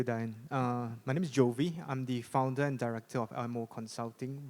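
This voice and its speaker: Consultant guy on a panel, flat even voice, steady and plain. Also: medium pitch. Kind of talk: panel self-introduction. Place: conference hall.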